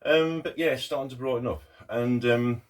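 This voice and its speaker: A middle-aged man with a croaky voice from a cough, talking haltingly and earnestly in a casual video diary. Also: medium pitch, steady tone. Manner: halting, many ums and pauses, earnest. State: hoarse with a cough. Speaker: artist vlogger. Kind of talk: casual talk to camera.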